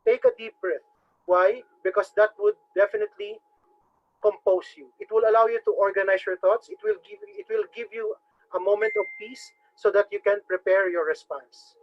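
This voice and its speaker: A man with a Filipino accent teaching a webinar, formally addressing the audience as ladies and gentlemen. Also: high-pitched, fairly even intonation, normal speed. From Philippines. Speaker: webinar trainer. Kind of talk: instructional webinar talk. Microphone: video call microphone. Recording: dull lo-fi webinar audio.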